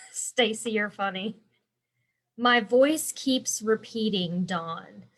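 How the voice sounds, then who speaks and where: A woman's voice: medium pitch, drawn-out words, fairly bright tone. A woman with a congested voice, hosting a casual live stream as an embroidery instructor and chatting with viewers by name. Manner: chatty and rambling, answering viewer comments by name.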